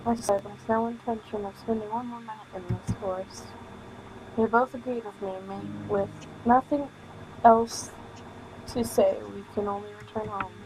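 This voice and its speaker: A woman streaming a visual novel, reading the text aloud with halting delivery and casual commentary. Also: mid-range voice, fairly even intonation, background noise. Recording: music playing behind the voice. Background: music.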